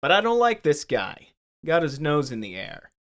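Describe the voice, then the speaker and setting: A man's voice: fairly high pitch, huge pitch swings, normal speed. An actor playing a surly overgrown adolescent brother in a radio drama, sullen and petulant, muttering his complaints.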